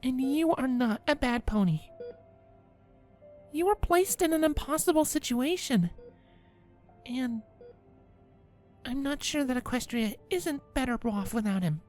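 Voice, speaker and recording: Woman as high, soft-spoken, gentle cartoon pony. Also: lively intonation, a little slow, long pauses, soft background music. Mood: gently consoling. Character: gentle, sober young female pony, reassuring friend. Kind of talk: fan fiction audio drama.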